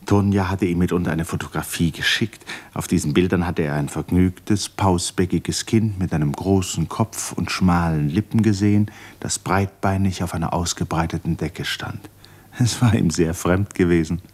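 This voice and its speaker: Deep, husky male narrator. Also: fairly even intonation, moderate pace, darkish tone. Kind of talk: scripted literary narration for radio drama.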